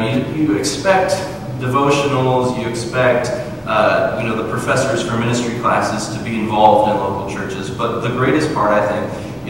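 Young preacher in an echoey church. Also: male voice, lowish voice. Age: 20s. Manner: self-deprecating, chatty and even-toned. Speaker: guest preacher. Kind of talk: sermon. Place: church sanctuary with a pulpit and band gear. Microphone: far camera, no visible mic. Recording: echoey hall from far off, noisy.

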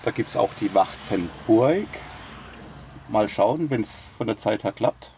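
A man's voice: fairly low pitch, slow, a little hiss or hum. A man in his fifties chatting casually inside a camper van and narrating a travel vlog. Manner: relaxed, chatty, agrees often. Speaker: travel vlogger. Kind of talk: casual chat and voice-over narration.

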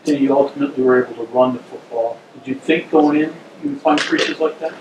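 A man's voice: medium pitch, fast, dull audio. A man, a sports reporter asking questions at a press conference. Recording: distant, echoey, noisy room.

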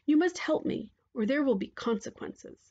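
A woman's voice: high voice, lively intonation, moderate pace, a little mellow, dull audio, voice pushed up. A woman voicing a proud, petulant young storybook princess who speaks in a demanding, indignant way. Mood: haughty, petulant.

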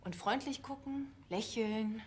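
A woman's voice: a little high, measured pace, lightly acted. A woman playing a friendly, helpful police colleague, casual in manner, in a TV crime comedy. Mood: encouraging. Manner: casual, encouraging. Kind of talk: naturalistic TV crime comedy acting.

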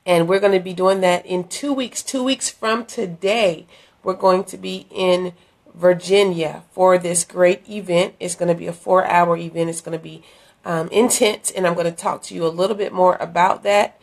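A middle-aged woman, hoarse from a cold, chatting earnestly into a phone at arm's length in a dim room, inviting viewers to an event. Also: lowish voice, steady tone, normal speed, darkish tone. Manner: chatty, earnest, with many fillers and restarts. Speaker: ministry leader promoting an event. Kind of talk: casual live-stream invitation. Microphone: phone held at arm's length.

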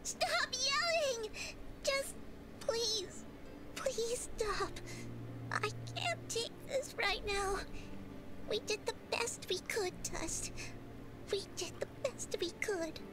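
A woman voicing a small excitable female creature sidekick in a game, with a squeaky emotional delivery. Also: slow pace, long pauses, noisy background, heavily acted. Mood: pleading. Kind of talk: game cutscene dialogue.

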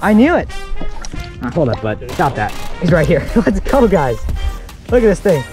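A young man hosting a fishing vlog outdoors, talking excitedly to a handheld camera as he narrates each catch. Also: fairly high pitch, normal speed, over music. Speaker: fishing vlogger host. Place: outdoors by a pond and city river.

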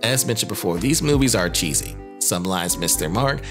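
A man's voice: fairly low pitch, animated, normal speed. A man narrating a scripted video commentary as host, with casual, jokey asides and a wry manner. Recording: music under the voice. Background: background music.

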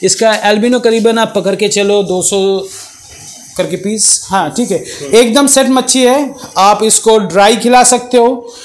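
A man's voice: sits high, fairly even intonation, unhurried. Chatty middle-aged man explaining fish. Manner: chatty, explains with worked examples. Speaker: fish farm owner. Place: indoor fish farm room with tanks.

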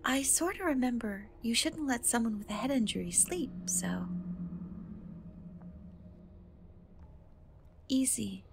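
A woman playing a gentle, caring young cat girl rescuer in a soft-spoken fantasy ASMR roleplay, reassuring and a little hesitant. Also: fairly high pitch, expressive, normal speed, background noise. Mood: soothing, concerned.

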